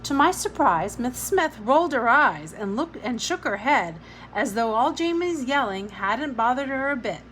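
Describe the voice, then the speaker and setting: A woman narrating a novel aloud in an expressive storybook reading style. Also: a little high, normal speed, slight background noise, low-quality recording.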